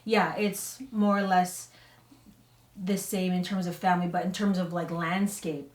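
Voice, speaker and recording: A woman's voice: lowish voice, level tone, unhurried, a little mellow. A young woman co-hosting a family vlog, chatting candidly and laughing easily. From Canada, Toronto. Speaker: vlog co-host, new mother. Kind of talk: casual sit-down chat. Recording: echoey room, mic at a distance.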